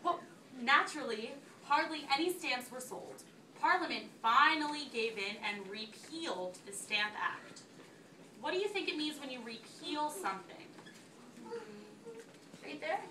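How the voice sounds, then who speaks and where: A woman teacher reads aloud to her class in a high, bright voice. She is instructive and often turns to questions to check understanding. The recording is echoey, with the mic at a distance and faint noise behind her. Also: expressive, measured pace. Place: school classroom.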